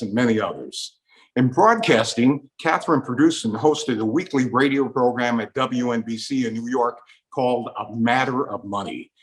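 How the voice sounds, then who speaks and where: American guy hosting a show, reads scripted intros radio style, average pitch. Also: rough recording. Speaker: host. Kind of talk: scripted guest introductions, radio-host delivery.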